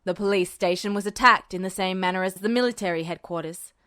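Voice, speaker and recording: A gruff, gravelly major general with a menacing edge, read by a woman in a fan audiobook. His voice sits low and he talks in curt, commanding sentences. The recording is dry and close. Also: quick pace, pushed and bright, dry recording. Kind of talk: podfic reading of fan fiction.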